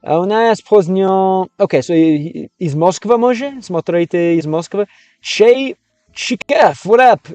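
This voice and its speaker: A man's voice: sits high, lively intonation, unhurried, a little mellow, smooth, no room sound, faint music behind. A man livestreaming, chatting with viewers and translating game text as he learns a language, often restarting his sentences. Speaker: streamer learning Russian. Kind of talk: casual livestream chat with viewers.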